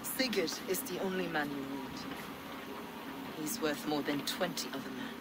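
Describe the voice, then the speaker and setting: A woman's voice: low-pitched, normal speed, heavy background noise, close to an everyday voice. An actress playing a scheming, righteous female holy warrior in a game cutscene, insistent and accusatory. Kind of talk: video game cutscene acting.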